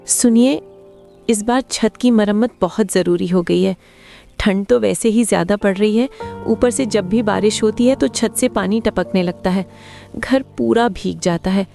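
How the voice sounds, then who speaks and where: A woman's voice: mid-range voice, measured pace, creaky, warm tone, faint music behind. A woman playing the worried wife of a poor labourer in a cartoon moral story, pleading and complaining.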